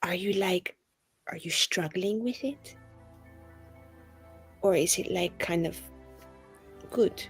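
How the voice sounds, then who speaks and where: A woman roleplaying conversationally in a tabletop game, speaking in short, halting, questioning phrases. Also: lowish voice, breathy edge. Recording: background music.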